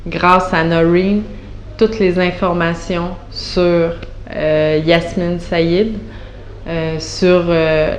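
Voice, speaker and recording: A woman in her thirties with a Quebec French accent speaks slowly and haltingly, stopping often to search for words. Her voice sits a little low. The room is echoey, with a faint hum of background noise. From Montreal, Canada. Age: 30s. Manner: hesitant, many fillers and restarts. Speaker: patient giving a testimonial. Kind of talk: unscripted spoken testimonial.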